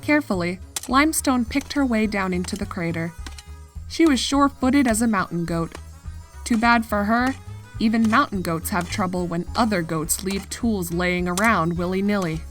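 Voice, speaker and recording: A woman's voice: mid-range voice, moderate pace. Woman narrating a story over music. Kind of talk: scripted fiction narration, storytelling.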